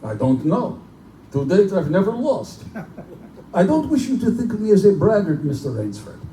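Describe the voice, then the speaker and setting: A man's voice: sits high, huge pitch swings, moderate pace, dark tone. A man playing a genteel, pompous general who hunts men for sport, in a live theatrical horror drama. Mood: smug menace. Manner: urbane, pompous, condescending. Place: live performance in a bookstore. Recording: distant, echoey live room recording with noise.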